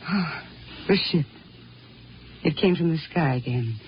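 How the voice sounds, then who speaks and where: A dreamy, hesitant Martian wife in an old radio play. She speaks slowly and wistfully, as if longing for someone else. The recording is dull, with clear background noise. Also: sits low, expressive. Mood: wistful, flustered. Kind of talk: 1950s radio drama acting.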